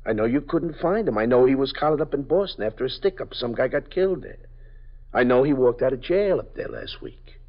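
An actor playing a nervous, evasive small-time parolee who stammers and pleads, in a 1950s radio drama. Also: male voice, mid-range voice, animated, brisk. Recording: dull lo-fi old radio broadcast.

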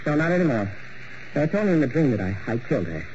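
Unhinged male ballet star, theatrical, very animated higher voice, old radio thriller. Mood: agitated, insistent. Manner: theatrical, halting, intense. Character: unstable, dreamy, grandiose male ballet dancer. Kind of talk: 1940s radio mystery acting. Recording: muffled, hissy old radio broadcast.

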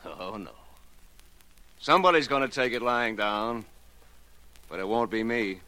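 An actor playing a bitter, accusing investor with menace in old 1940s radio drama style. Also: male voice, on the low side, lively intonation, unhurried, heavy background noise. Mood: quiet menace. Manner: forceful, accusing. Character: bitter ruined investor, a menacing man out for payback.

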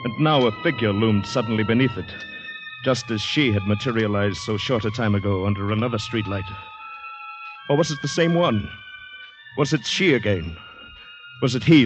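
A man's voice: mid-range voice, close to an everyday voice. An actor playing a terrified, shell-shocked man on the run, narrating urgently in a vintage radio drama style. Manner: dramatic, haunted, urgent delivery. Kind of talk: 1940s-50s radio drama acting, first-person narration. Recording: dull vintage radio recording, music behind.

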